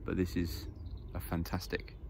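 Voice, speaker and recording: A man in his thirties talks easily to his phone camera while standing outdoors on a grassy hill. His voice sits low and has a gravelly rasp. He explains step by step in a relaxed way, with plenty of ums and uhs. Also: moderate pace, noisy background. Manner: casual, explanatory, easygoing with fillers. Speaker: foraging channel host. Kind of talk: outdoor how-to vlog. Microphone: phone camera held close.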